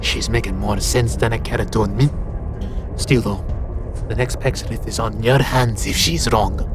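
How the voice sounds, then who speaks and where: A man playing a wry, doubting, cynical companion in an audio drama, with a creaky voice and terse, dry delivery. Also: on the low side, fairly even intonation, a little slow, music in the background, close to an everyday voice. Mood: grudging.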